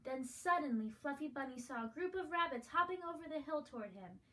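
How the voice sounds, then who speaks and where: High pitched female voice reading a bunny-type picture book for little kids. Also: expressive, a little fast, bright, forceful tone, low-quality recording. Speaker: guest reader, reading with her mother. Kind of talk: children's picture book read-aloud.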